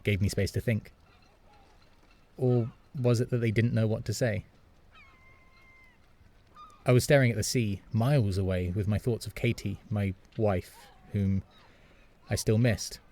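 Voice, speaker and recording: An actor playing a lonely, wistful man who narrates in the first person with a reflective, measured manner. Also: fairly low pitch, fairly even intonation, mellow. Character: melancholy adult brother narrating, lonely and wistful.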